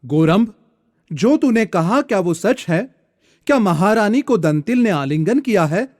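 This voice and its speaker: Man playing a stern, suspicious king, unhurried. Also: high voice, lively intonation, darkish tone, no echo, clean studio sound, voice pushed up. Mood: alarmed. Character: proud king, jealous, suspicious and easily startled.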